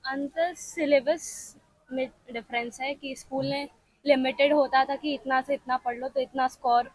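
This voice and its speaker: A young woman student with a high voice who lays out her answers point by point. She sounds close and dry, with no room behind her. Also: steady tone, unhurried, dry recording, low-quality recording. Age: young adult. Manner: explains step by step, lists subjects. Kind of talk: casual interview answers.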